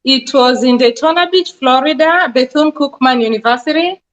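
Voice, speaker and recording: A woman's voice: sits high, moderate pace. A woman in her forties from Kenya with a Kenyan accent, a nurse practitioner answering interview questions earnestly with many fillers. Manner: earnest, explanatory, with frequent fillers. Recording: dull lo-fi sound.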